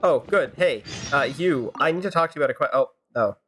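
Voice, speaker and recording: Dry, low-pitched woman streamer. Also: animated, quick pace, faint music behind. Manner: dry, sarcastic. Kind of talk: improvised in-character gameplay banter.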